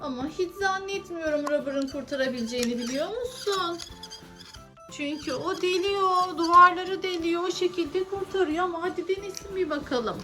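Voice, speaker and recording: A woman's voice: very high-pitched, normal speed, music in the background. A mother chatting playfully as she narrates toy play, often asking questions to prompt her young son. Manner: asks questions to prompt a child.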